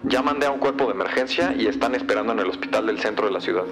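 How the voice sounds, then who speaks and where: A man's voice: fairly low pitch, racing, natural voice. An actor playing a supportive male police tech colleague in an audio drama, reassuring and matter-of-fact. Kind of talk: audio fiction acting. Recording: loud dramatic music behind.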